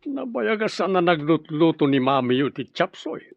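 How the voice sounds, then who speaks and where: A man's voice: a little high, big pitch swings, moderate pace, gravelly, no echo, muffled recording. An actor playing an easygoing, teasing Filipino family man in an Ilocano radio drama.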